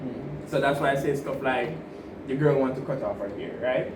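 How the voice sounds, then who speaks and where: A man's voice: medium pitch, moderate pace. A man co-hosting a podcast, giving rambling, laughing advice in casual chat, with many restarts. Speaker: podcast co-host. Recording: roomy, distant mic with noise.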